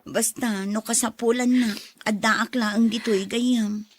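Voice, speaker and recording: A woman's voice: on the high side, steady tone, unhurried. A woman playing a supportive, indignant friend in an Ilocano audio drama.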